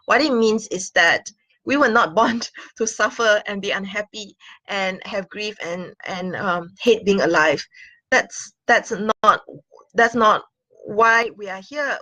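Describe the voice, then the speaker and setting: A woman in her forties speaks earnestly into a webcam, her voice at a middle pitch and recorded dry and close in a small room. She talks in a casual vlog manner, filling pauses with "uh" and "um" and breaking into soft laughs. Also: no echo, poor audio. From Singapore. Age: 40s. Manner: earnest, with frequent fillers and gentle laughs. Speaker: sex and intimacy coach. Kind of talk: casual talking-head vlog.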